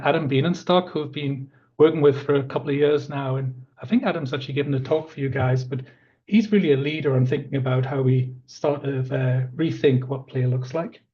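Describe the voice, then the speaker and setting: A croaky-voiced man in his fifties gives a webinar presentation on a video call. He speaks a little higher than most men, earnest and conversational, with plenty of "uh"s. The audio sounds dull and lo-fi. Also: darkish tone. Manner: conversational and earnest, with many fillers. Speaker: park planning manager presenting a webinar.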